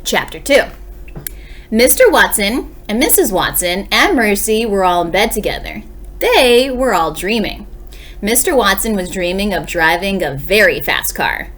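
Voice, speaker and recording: A woman teacher reads a storybook aloud for young children. Her mid-pitched voice moves up and down in a very lively way. She uses dramatic pauses and talks straight to her young listeners. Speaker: second-grade teacher reading aloud.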